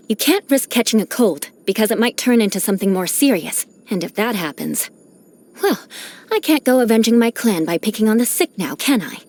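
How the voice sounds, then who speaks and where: A woman's voice: on the high side, big pitch swings, normal speed, darkish tone. A woman playing a proud, vengeful yet caring young aristocrat knight in a video game, speaking in a haughty, formal, articulate manner. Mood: stern but caring. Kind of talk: video game character voice acting.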